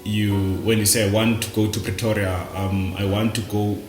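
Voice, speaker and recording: A South African man talking casually and reflectively to camera, halting and repeating words as he goes. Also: fairly low pitch, little pitch movement, darkish tone, roomy sound, soft background music. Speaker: vlogger. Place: indoors, dim room at night.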